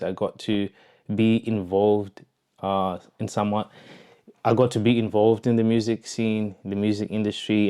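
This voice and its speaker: A man's voice: on the low side, dark tone, clear, resonant tone. A young man with a Zimbabwean accent telling his own story casually, in a reflective, conversational way, as a vlogger. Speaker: vlogger, online entrepreneur. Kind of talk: casual personal storytelling to camera.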